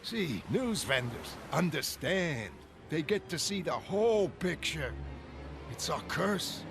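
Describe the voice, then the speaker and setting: An actor playing an agitated, opinionated city news vendor, ranting in a streetwise way in a comic-book drama. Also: male voice, on the high side, very animated, slow, exaggerated voice. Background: music.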